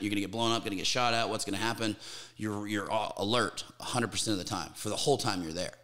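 A man's voice: mid-range voice, sharp tone. A man in his thirties, a podcast guest speaking in casual, candid conversation, swearing freely and restarting his sentences. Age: 30s. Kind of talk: casual interview chat.

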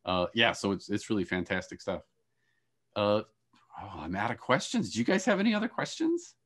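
A middle-aged man hosting a panel on a video call in a conversational, halting way with repeated starts. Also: on the low side, big pitch swings, a bit bright. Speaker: panel host, moderator. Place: room with shelves of boxes. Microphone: video call, earphones.

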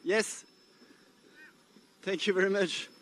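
A young man with a French accent, interviewed on a boat at sea after a race, speaking in a halting way with many fillers and restarts. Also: high-pitched, steady tone, fairly bright tone. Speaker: windsurfer being interviewed. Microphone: handheld microphone.

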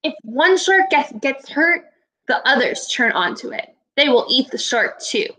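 A woman in her twenties, a teacher giving a read-aloud lesson to young children in a deliberate, instructive way. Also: sits high, animated, pushed and bright, poor audio. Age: 20s. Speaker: elementary school teacher. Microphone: laptop webcam at arm's length.

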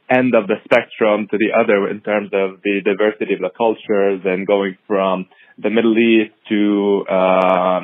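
A man in his thirties from Syria, a physician answering interview questions in a measured, thoughtful way with many pauses and ums. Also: fairly low pitch, no room sound. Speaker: hematology-oncology fellow, podcast guest. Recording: tinny phone-like audio.

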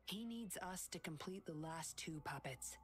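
An actress playing a sly, secretive young woman patroller in an anime-style video game, cool, measured and teasing. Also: lowish voice, animated, a little hiss or hum. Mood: composed, confiding.

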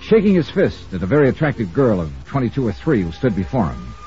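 An actor playing a brisk, wry insurance investigator in a 1950s radio detective drama, narrating crisply in the first person. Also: male voice, fairly low pitch, wildly swooping pitch, dark tone. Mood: brisk narration. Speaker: narrator and lead of a detective serial. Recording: muffled old radio recording with music.

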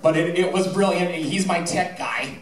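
High-voiced middle-aged man addressing a roomy theatre. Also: moderate pace, heavy background noise. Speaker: high school theatre director. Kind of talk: informal speech to an audience, with anecdotes and thank-yous. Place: theatre stage, indoors. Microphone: handheld microphone through PA, filmed from the audience. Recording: roomy, distant audience-camera recording.

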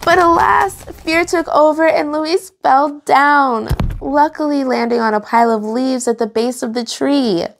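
A young adult woman hosting children's storytime, reading aloud in an animated, expressive way and enunciating for young listeners. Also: high voice, a little slow, vocal fry, hardly any pauses, slight background noise.

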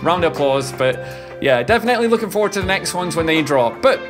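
A man's voice: fairly high pitch, expressive, quick pace. A man in his thirties giving casual, exclamatory reaction commentary as a streamer, often repeating his phrases. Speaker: reaction video host, streamer. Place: indoor home streaming room. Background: music from the trailers being watched.

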